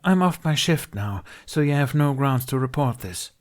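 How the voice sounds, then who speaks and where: A man's voice: mid-range voice, animated, normal speed, pitched above the performer's own voice, close to an everyday voice. Man voicing a blunt, exasperated alien woman healer. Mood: angry scolding. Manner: blunt, scolding, growling.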